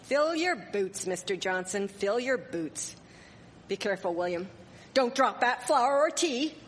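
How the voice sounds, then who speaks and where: A woman playing a sharp-witted, proper 1870s townswoman with a wry manner in a live radio drama. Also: mid-range voice, expressive, a little slow, slight background noise, close to an everyday voice. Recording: echoey hall, live stage recording.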